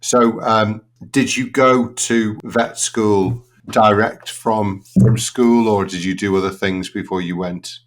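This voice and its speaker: A man hosting a veterinary podcast, asking questions in a relaxed, meandering way full of asides. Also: fairly low pitch, takes it slowly, few pauses, slight background noise. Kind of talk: conversational interview hosting.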